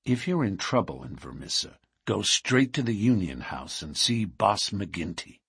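A man's voice: on the low side, big pitch swings, measured pace, fairly bright tone, husky, full character voice. A man voicing a friendly, chatty local working man. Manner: easygoing, advising.

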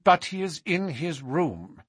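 A man's voice: a little high, big pitch swings, takes it slowly, fairly bright tone, slightly rough, no room sound, studio quality, voice pushed up, heavily acted. A man voicing a guarded, obstructive male secretary to a duke in an audiobook, formal and apologetic in manner. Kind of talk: audiobook character voice.

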